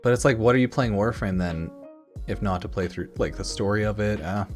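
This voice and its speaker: Low-voiced man rambling casually over music. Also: a little fast. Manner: informal, hedging, mild swearing. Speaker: gaming YouTuber. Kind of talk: casual rambling opinion commentary. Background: music in the background.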